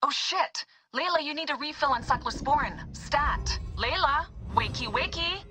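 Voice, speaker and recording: Brisk, high-voiced medical officer. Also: female voice, animated, over music. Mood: alarmed. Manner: brisk, sarcastic, nagging. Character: bossy, caring, wry young female medical officer. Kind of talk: naturalistic video game cutscene acting.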